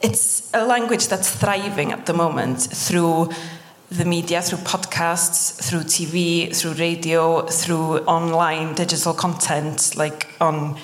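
Woman, Welsh accent, late twenties, earnest, fast talker, low voice, live concert hall, roomy long reverb. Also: steady tone. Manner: earnest, quick, laughs often. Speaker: guest, podcaster. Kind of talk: live podcast conversation. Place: live stage before an audience in a concert hall.

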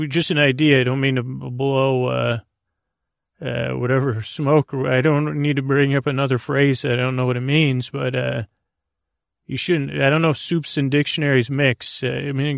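A man hosting a bedtime podcast in a meandering, halting, goofy monologue meant to lull listeners to sleep, with a gravelly voice. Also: medium pitch, dry recording, clean studio sound. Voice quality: gravelly, creaky. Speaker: podcast host.

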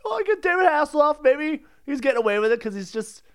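A man co-hosting a podcast in casual, jokey chat, reacting in an excitable way. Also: very high voice, fast talker, no room sound, clean studio sound. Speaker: podcast co-host.

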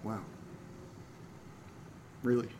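A man's voice: drawn-out words, warm tone. A man playing a trusting, dry young man in an audio drama, talking casually. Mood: hurt, insistent. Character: trusting, wounded, dryly teasing young man. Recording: faint background noise.

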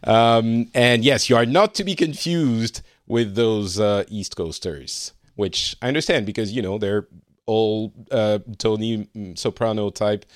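A man's voice: on the low side, a little slow, dry recording, studio quality. A man in his forties hosting a podcast, chatting and teasing his guests and laughing often. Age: 40s. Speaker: podcast host. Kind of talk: casual chat, welcoming guests.

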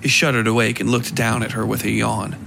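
A man reading scripted dark fiction aloud as its narrator. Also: fairly low pitch, flat delivery, brisk, creaky, noisy background.

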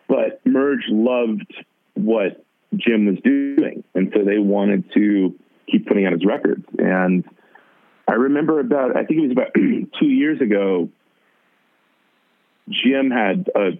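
Raspy low-voiced man over a phone line. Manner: halting, full of restarts and fillers. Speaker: musician guest. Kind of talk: casual interview talk.

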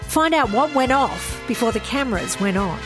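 A woman's voice: fairly high pitch, expressive, normal speed, over music. A middle-aged Australian woman hosting a podcast and interviewing, chatty and upbeat. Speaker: host and interviewer.

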